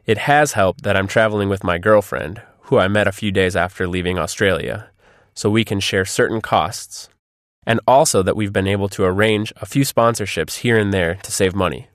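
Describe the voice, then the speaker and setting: Lowish-voiced man, scripted interview guest. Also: normal speed, no room sound, studio quality. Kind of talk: scripted interview answers read aloud.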